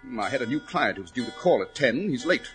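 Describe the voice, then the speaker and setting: An actor playing a brisk, self-assured English detective in a 1940s radio drama, emphatic and probing with a superior manner. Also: male voice, medium pitch, expressive, fairly bright tone, soft background music, natural voice. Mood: incisive, assured. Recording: scratchy, rough 1940s radio recording.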